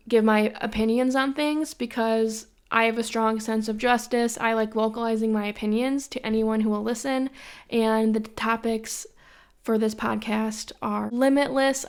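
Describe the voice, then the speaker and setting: A woman's voice: a little high, smooth. A young woman from Western New York hosting her own podcast in a casual, rambling monologue full of opinions and repeated filler phrases. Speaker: podcast host.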